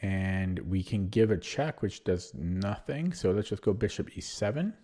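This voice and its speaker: A man's voice: fairly low pitch, lively intonation, unhurried, dark tone. A man streaming online chess, thinking aloud through his moves with a hesitant, self-deprecating manner and frequent fillers. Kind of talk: live game commentary.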